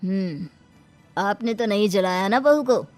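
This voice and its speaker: A woman voicing a stern, suspicious male doctor in an animated moral story, firm and admonishing. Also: mid-range voice, unhurried, faint music behind, close to an everyday voice.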